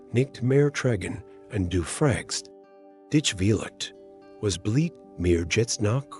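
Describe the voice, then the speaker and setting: A man speaking a slow, consoling devotional monologue in the voice of God. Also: on the low side, breathy edge. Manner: soft, consoling, unhurried. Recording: faint music underneath.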